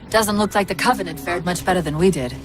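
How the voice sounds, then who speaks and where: An actress playing a female military AI companion in a video game, brisk, clever and wry, with a quick-witted technical way of talking. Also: lowish voice, natural voice. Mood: wry, analytical. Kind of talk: video game cinematic acting. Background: music and vehicle-like sound effects.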